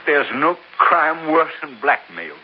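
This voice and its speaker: An actor playing a cornered, desperate killer in a 1950s radio drama, pleading and self-justifying. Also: male voice, fairly high pitch, big pitch swings, slow pace, muffled recording. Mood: self-pitying. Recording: hissy old radio broadcast.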